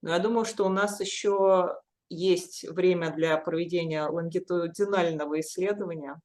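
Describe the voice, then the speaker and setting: A woman's voice: on the low side, steady tone, normal speed, a little mellow. A woman hosting a professional interview, speaking articulately in long, structured sentences. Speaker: interviewer, deputy editor of a journal.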